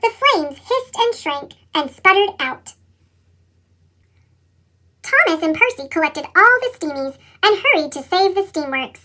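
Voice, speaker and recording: A woman's voice: very high voice, sing-song, a little slow, pushed and bright. A woman reads a children's story expressively, her voice altered with a sped-up chipmunk effect. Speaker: storybook reader.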